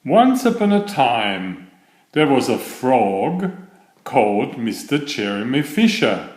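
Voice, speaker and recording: Male children's storyteller, unhurried read with animated intonation, echoey room sound. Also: medium pitch. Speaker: storyteller reading children's picture books. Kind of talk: scripted children's story narration. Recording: roomy echo on the voice.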